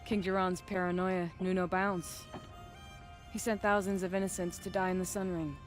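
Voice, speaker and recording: A woman's voice: fairly low pitch, little pitch movement, normal speed, faint music behind, lightly acted. A woman playing a proud, defiant young noblewoman hunter in a video game, firm and assertive, with bitterness and determination. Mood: grieving, bitter. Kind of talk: video game dramatic acting.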